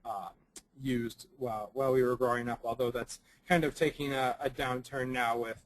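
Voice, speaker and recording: Young male presenter, slightly raspy from a cold, halting delivery full of ums and restarts. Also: medium pitch, steady tone, rough recording. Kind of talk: recorded conference presentation.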